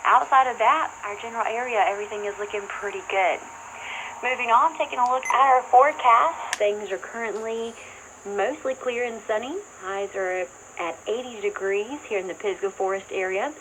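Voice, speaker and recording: A woman's voice: on the high side, moderate pace. A woman giving a weather report, heard over an AM radio. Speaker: weather forecaster. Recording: noisy AM radio broadcast pickup.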